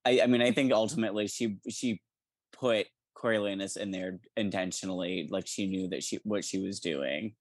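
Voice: low voice, moderate pace, dry recording, studio quality. A podcast co-host chatting casually about a book, speaking in an emphatic, argumentative way.

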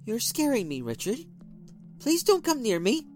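Man doing a frightened young wife, high strained voice, lively pitch, faint music behind. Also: normal speed. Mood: pleading.

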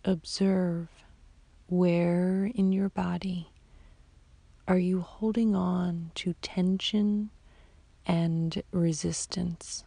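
A woman guiding a sleep meditation as a yoga nidra teacher, in a soft, whispery, soothing voice with long, unhurried pauses. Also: on the low side, flat delivery, gravelly, breathy edge, no echo. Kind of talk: slow guided sleep meditation.

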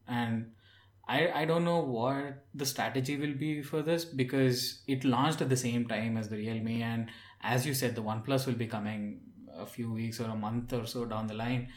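A man's voice: medium pitch, echoey room. A man chatting casually as a panelist on a tech podcast.

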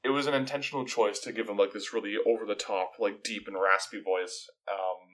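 A man's voice: on the low side, steady tone, brisk, fairly bright tone, poor audio. A man co-hosting a podcast in casual, opinionated chat, with an emphatic, critical and wry manner. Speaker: podcast co-host.

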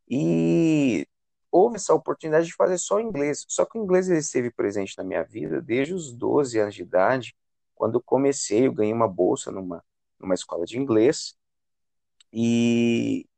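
Man, São Paulo state Brazilian accent, interview guest, explanatory, halting, gravelly fry, dry sound. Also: mid-range voice, a little mellow, no echo. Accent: Brazilian Portuguese, São Paulo state. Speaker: English teacher and university student, interview guest. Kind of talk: conversational interview answers.

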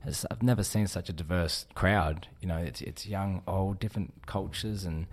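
A man's voice: deep voice, moderate pace, a little hiss or hum. A man who manages a market and event venue, chatting in a casual interview with lots of fillers and restarts.

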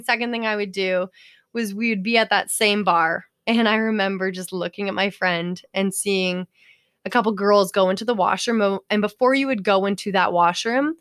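A woman's voice: medium pitch, no room sound, clean studio sound. A young woman co-hosting a podcast, telling casual comedic stories in a giggly, sweary, rambling way with frequent self-corrections. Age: young adult. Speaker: podcast co-host. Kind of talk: casual comedic storytelling chat.

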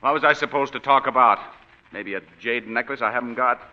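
An actor playing a hard-boiled private detective with dry, sardonic wisecracks, in 1940s radio drama. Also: male voice, mid-range voice, brisk, close to an everyday voice. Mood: wry, tough. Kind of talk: 1940s radio drama with first-person narration. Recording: muffled 1940s radio broadcast with long reverb.

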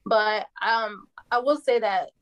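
A woman's voice: medium pitch, takes it slowly, clean tone. A woman from the Dominican Republic whose first language is Spanish, chatting casually and laughing often as she tells family stories.

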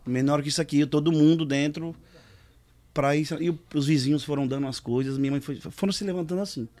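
Quick-talking man from São Paulo. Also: on the high side, a little mellow. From São Paulo, Brazil. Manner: laughs easily, rambling anecdotes. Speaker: musician, podcast guest. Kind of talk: casual bar-table chat.

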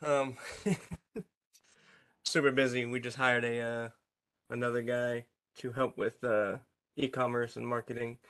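A man giving a casual business update on a group call, steady and filled with ums. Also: mid-range voice, unhurried, pauses often.

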